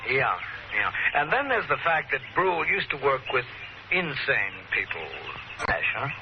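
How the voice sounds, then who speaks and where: An actor playing a shrewd, falsely casual police lieutenant in an old radio mystery, probing in an offhand way. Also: male voice, mid-range voice, very animated, moderate pace, creaky. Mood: needling. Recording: noisy, muffled old radio recording.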